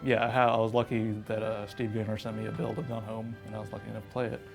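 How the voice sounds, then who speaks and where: A man with a low voice and plenty of vocal fry gives a casual interview. He thinks aloud in a conversational way, padding his points with small asides. Music plays behind him. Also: level tone, quick pace. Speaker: video game interviewee.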